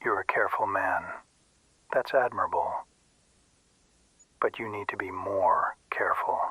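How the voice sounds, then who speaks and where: Husky, slow, menacing powerful man. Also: sits low, pushed and bright, dry recording, heavily acted, low-quality recording. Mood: cold menace. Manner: slow, coolly controlled. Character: patient, menacing, powerful man issuing polite threats. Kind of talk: scripted audio drama dialogue.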